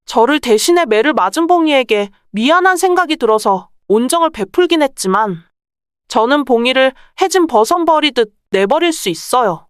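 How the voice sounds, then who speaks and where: Woman acting clever young merchant's daughter, folktale drama, high-pitched, polite, persuasive, close dry sound. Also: smooth, no echo. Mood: coolly calculating. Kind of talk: acted Korean folktale dialogue.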